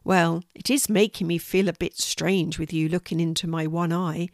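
A woman voicing a kind male stable animal in a children's story, speaking in a gentle, calm and reassuring manner. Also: fairly low pitch, moderate pace, no room sound, clean studio sound, close to an everyday voice. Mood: thoughtful.

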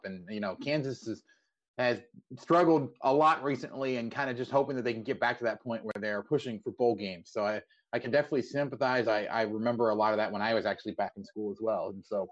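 A man hosting a sports podcast, talking casually in an interview. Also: mid-range voice. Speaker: podcast host. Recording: slightly dull, lo-fi audio.

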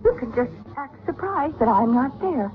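A woman's voice: sits high, expressive, moderate pace. A desperate schemer in a vintage radio thriller. She is urgent and coaxing, pleading in a dramatic period style. The sound is tinny, with faint noise. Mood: anxious, pleading. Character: desperate, pleading woman scheming with her confidante. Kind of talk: 1940s radio mystery acting. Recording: tinny, hissy old radio drama recording.

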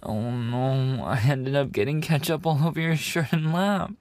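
A man's voice: mid-range voice, steady tone, a little slow, darkish tone, dry recording, natural voice. A man voicing a playful, affectionate animal-boy maid café server in an ASMR roleplay, teasing and giggly in a cutesy way.

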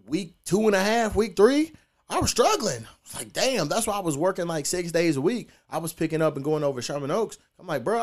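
A man's voice: fairly high pitch, a little mellow. A young man, hosting a podcast and telling stories in a laid-back, profane, slangy way. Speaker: podcast host. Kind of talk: casual podcast storytelling.

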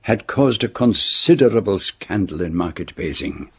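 An actor playing a fastidious, self-important foreign detective with French as his native tongue, speaking precisely and formally in a radio drama. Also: on the low side, sing-song, fairly bright tone, husky, heavily acted. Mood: measured, fastidious.

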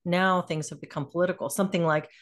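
A woman's voice: on the low side, fairly even intonation, fast talker. A woman hosting an interview, talking casually and hesitantly as she searches for words, with many fillers. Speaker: interviewer, host.

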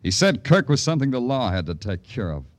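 An actor playing a terse, grim New York police detective in an old radio crime drama, with a clipped, world-weary delivery. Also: male voice, mid-range voice, sing-song, fairly quick, dry recording, natural voice. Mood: brooding, world-weary. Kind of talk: 1940s-50s radio crime drama acting. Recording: old radio broadcast, music underneath.

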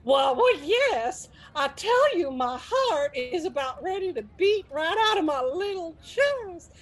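A woman playing an excitable, gullible old soap opera fan in a comedic radio sketch, with folksy, breathless delivery. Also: extremely high pitch, slow, heavily acted. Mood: thrilled, scandalized.

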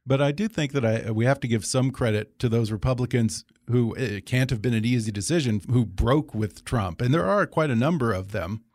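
A man hosts an interview in a conversational way. His voice sits at a medium pitch and the recording is dry, with very little room around it. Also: brisk, hardly any pauses, a little mellow, no echo. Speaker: interviewer, show host.

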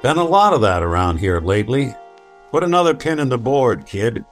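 An actor playing a weary, wry synth detective with a dry deadpan delivery in an old-time radio noir drama. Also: male voice, medium pitch, moderate pace, faint music behind, lightly acted. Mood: businesslike.

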